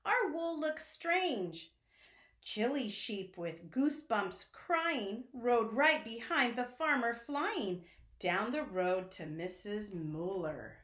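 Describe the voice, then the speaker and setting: Animated, slow-paced woman reading to children. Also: medium pitch. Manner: expressive, sing-song, addressing children directly. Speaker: storyteller reading to young children. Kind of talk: children's picture-book read-aloud. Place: indoor room at home. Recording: echoey room.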